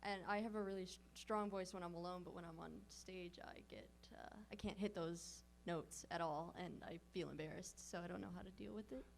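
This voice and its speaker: A woman's voice: on the low side, fairly even intonation, fairly quick, vocal fry, hardly any pauses, a little hiss or hum. A woman speaking candidly and chattily at a convention panel.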